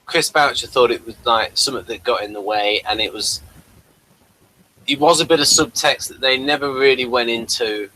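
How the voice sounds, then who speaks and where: A man with a bright, forward voice at a middling pitch hosts an informal review chat. He explains background details in a halting, unscripted way, often breaking off and restarting his sentences. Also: rough recording. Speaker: podcast host.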